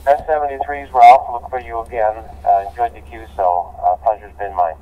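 An American man with an American accent, chatting casually and haltingly as a ham radio operator over digital radio. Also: mid-range voice, steady tone. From United States. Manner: halting, filler-heavy. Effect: digital radio transmission. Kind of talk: casual amateur radio contact. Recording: muffled distant digital radio link.

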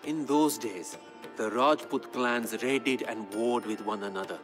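An actor playing a court poet who solemnly tells his king's legend in a measured, grave, dramatic storytelling style for a game. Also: mid-range voice, natural voice. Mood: reverent storytelling. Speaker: narrator. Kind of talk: dramatic scripted storytelling narration. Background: background music.

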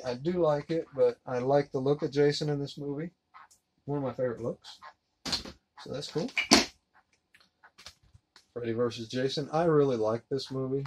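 A man in his thirties giving a relaxed, rambling unboxing commentary while handling discs at his desk. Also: medium pitch. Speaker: collector unboxing a disc set. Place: collectibles room indoors. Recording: noisy home recording.

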